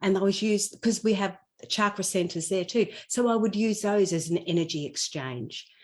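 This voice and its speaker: A woman speaks at an average pitch for a female voice. She explains in a conversational teaching style, as a guest on a podcast would. She illustrates her points with personal stories and everyday examples. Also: normal speed.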